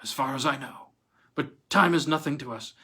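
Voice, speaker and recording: A man voicing a bitter, despairing blinded prisoner in chains, halting and snarling as he repeats himself in a storybook reading. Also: medium pitch, bright, forceful tone, breathy edge. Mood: weary, desperate.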